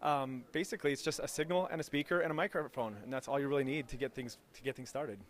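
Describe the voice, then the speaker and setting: A man's voice: mid-range voice, fairly even intonation, quick pace. A man speaking as an enthusiastic expert guest, explaining technical material informally in an interview. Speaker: acoustics lecturer, interview guest.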